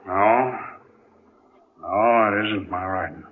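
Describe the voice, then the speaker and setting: Bossy sea captain in an old radio show, thin narrow sound, stern, kinda blustery. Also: male voice, lowish voice, takes it slowly, a little hiss or hum. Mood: grave, uneasy. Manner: authoritative, blustering, stammers when rattled. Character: stern, commanding male sea captain, gruffly skeptical. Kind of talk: old-time radio drama acting. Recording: tinny narrow-band vintage radio recording.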